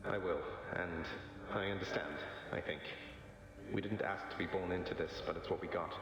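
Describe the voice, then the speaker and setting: A man's voice: deep voice, steady tone, normal speed, vocal fry. A man playing a good-natured young underworld prince in a video game, with a wry, dry, casual manner. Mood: wry, thoughtful. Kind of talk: conversational video game acting. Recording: heavily echoing hall with music.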